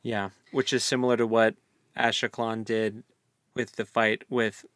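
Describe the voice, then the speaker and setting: A man's voice: on the low side, flat delivery, a little slow, a bit bright, no room sound, clean studio sound. A man co-hosting a casual book discussion, speculating in loose, colloquial language. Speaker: co-host of a book read-along podcast.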